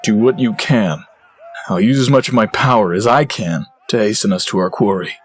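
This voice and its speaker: An actor playing a resolute, commanding leader of warriors in a fantasy audio drama. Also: male voice, lowish voice, moderate pace, slightly rough.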